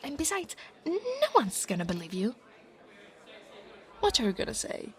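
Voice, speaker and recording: A woman voicing an insecure young dragon girl in an ASMR roleplay, haughty and defensive, stammering when flustered and often dropping toward a breathy whisper. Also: a little high, wildly swooping pitch, measured pace, exaggerated voice. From Italy. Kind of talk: scripted ASMR monster roleplay, acting one side of a conversation.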